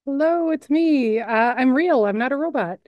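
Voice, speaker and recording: A woman's voice: high voice, lively intonation, normal speed, no echo, muffled recording. A middle-aged woman from the Chicago suburbs with a Chicago accent, chatting on camera about her work as a news editor and narrator in a friendly, matter-of-fact way. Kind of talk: casual on-camera chat.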